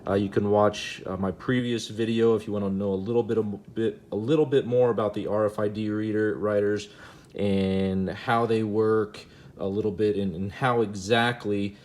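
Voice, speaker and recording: A man hosting a casual, unscripted demo video, speaking in a halting way and repeating himself. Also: on the low side, steady tone, darkish tone. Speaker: host of a hobby gadget demonstration video. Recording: noticeable background noise.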